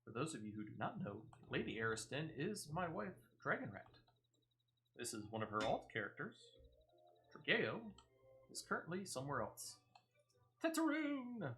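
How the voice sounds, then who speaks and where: Male game streamer, chatty and emphatic, bright tone, very animated intonation, off-the-cuff commentary. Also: medium pitch, moderate pace, a little hiss or hum. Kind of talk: casual live gameplay commentary.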